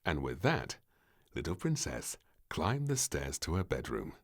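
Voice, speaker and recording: A man narrating a children's storybook in an expressive, theatrical and measured way. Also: rumbling low.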